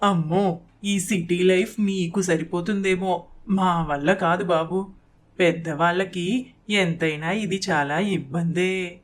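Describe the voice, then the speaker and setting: A woman's voice: lowish voice, steady tone, slow pace. A woman voicing an elderly, traditional mother-in-law in a cartoon story, resigned and mildly complaining. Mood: resigned complaint.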